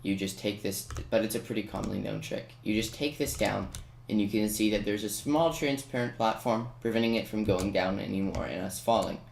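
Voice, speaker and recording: A man's voice: lowish voice, steady tone, brisk, vocal fry. A man giving casual tutorial commentary on a video game, hedging and correcting himself as he goes. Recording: roomy echo, faint noise with key clicks. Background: computer keyboard typing and clicks.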